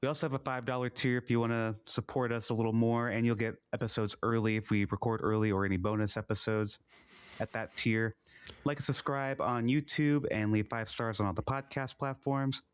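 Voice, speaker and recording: A man's voice: mid-range voice, little pitch movement, a little fast, smooth. Easygoing sick male podcast co-host. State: sick, slightly nasal. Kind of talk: casual chat and show outro.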